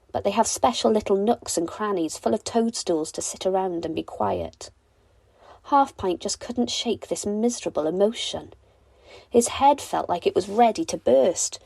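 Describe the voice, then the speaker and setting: A woman reads a children's story aloud with lots of expression. Her pitch moves freely through deliberate phrases, and the sound is dry, with no room echo. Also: gravelly, mellow, husky. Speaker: storybook reader. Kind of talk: expressive bedtime story narration.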